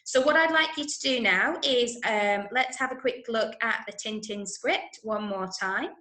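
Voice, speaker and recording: A woman with an English accent leading an online drama workshop for children, giving clear instructions and often checking in. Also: fairly high pitch, a little slow, pushed and bright. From Manchester, England. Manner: clearly instructional, frequent check-ins with okay and right. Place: indoors, online video session, roomy sound. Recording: roomy echo on online call.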